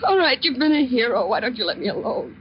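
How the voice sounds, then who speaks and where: A woman's voice: on the high side, big pitch swings, fast talker. A distraught young woman in a 1950s radio drama, guarded and tense. Her delivery halts and pleads. The recording is muffled and a little noisy, like an aged broadcast. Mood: defensive, despairing.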